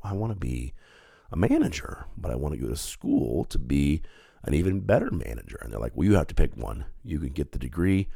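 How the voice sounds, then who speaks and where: A man's voice: very deep voice, gravelly, mellow, no echo, studio quality. A man hosting his own podcast, stuffed up with a head cold, talking in an earnest, reflective and conversational way. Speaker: podcast host. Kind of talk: solo podcast monologue.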